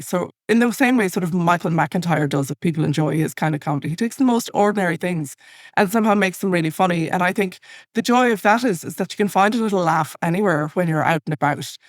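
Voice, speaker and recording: A woman's voice: on the low side, no room sound, clean studio sound. A talkative, witty woman in her fifties with an Irish accent, from the west of Ireland, chatting casually and anecdotally as a podcast guest. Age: 50s. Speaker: podcast guest, comedy writer.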